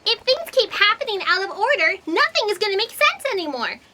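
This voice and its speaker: A woman's voice: very high-pitched, very animated, brisk, heavy background noise. A woman voicing a fretful, self-blaming, childlike fact-checker with a whiny, distressed manner. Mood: despairing.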